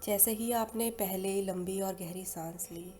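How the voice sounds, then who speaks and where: Indian female meditation guide, mellow and soothing, long pauses, soft music underneath. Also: mid-range voice, steady tone. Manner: soothing, unhurried, with long pauses between phrases. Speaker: guided meditation and hypnosis leader. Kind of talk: slow guided meditation narration.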